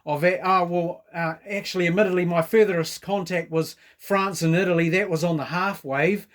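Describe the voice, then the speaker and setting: A New Zealand man in a casual radio chat. His voice sits a little high, and he talks in a chatty, informal way with plenty of operator jargon. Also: steady tone, moderate pace.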